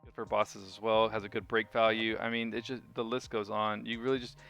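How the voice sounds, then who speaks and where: A man's voice: lowish voice, level tone, brisk, gravelly, fairly bright tone, clear, resonant tone, soft background music. A man giving casual, unscripted video game commentary, rambling and thinking aloud as host of the review.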